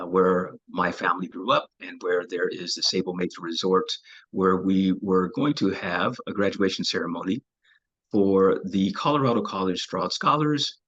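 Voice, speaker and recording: A man with a low, husky voice hosts an interview over a video call and talks in a measured way, pausing on plenty of ums and uhs. The call audio is dull and lo-fi. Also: a bit bright. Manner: measured, with frequent fillers.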